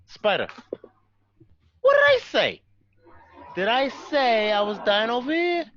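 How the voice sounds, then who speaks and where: A woman playing a volatile, drunken male mobster in a radio drama, taunting and bullying in a forceful, drawn-out, profane way. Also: on the high side, wildly swooping pitch, dull audio, exaggerated voice. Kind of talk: amateur radio drama acting.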